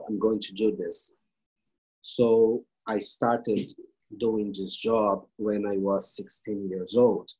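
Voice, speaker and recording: A man from Brazil with a Brazilian Portuguese accent, a guest speaking earnest, halting English with fillers. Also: on the low side, dark tone, slightly rough, poor audio. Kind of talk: interview answers.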